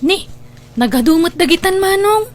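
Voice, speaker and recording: A high, feminine voice from an actress plays a dutiful younger sister in an Ilocano radio drama. The delivery is expressive and conversational, affectionate toward family, with slight noise behind it. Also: female voice, normal speed. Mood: casual.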